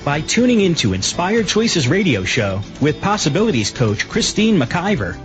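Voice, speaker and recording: A man reading a scripted radio show promo in a smooth, measured announcer style. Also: fairly high pitch. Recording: read over clear music.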